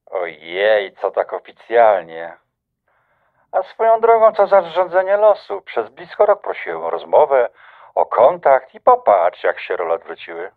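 A man's voice: medium pitch, huge pitch swings, measured pace, phone-quality sound. An actor playing a smug, flirtatious doctor who teases his ex-lover, in a radio drama over a telephone. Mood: smug, teasing. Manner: teasing, insinuating.

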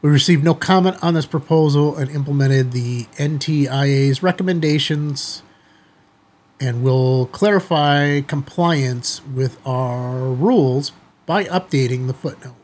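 Husky middle-aged American man, chatty. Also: fairly high pitch, a little mellow. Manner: informal, folksy, addresses viewers directly. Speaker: amateur radio video host. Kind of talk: casual chatty commentary to viewers. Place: small indoor studio office, seated at desk.

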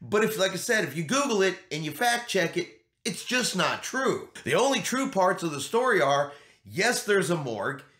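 A man's voice: sits high, very animated, normal speed, sharp tone. A middle-aged man explaining things to camera in an emphatic way, repeating his key points as a vlog host. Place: small home studio room, indoors. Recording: roomy indoor echo.

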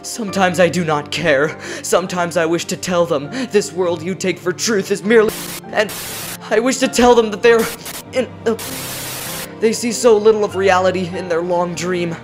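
A man narrating a poem as a dramatic reading, with theatrical delivery and deliberate pauses. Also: high voice. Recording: music behind the voice.

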